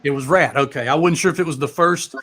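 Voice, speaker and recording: A man hosting a live music chat, talking casually and haltingly with loose swearing. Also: on the high side, fairly bright tone. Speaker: host of a live music talk show.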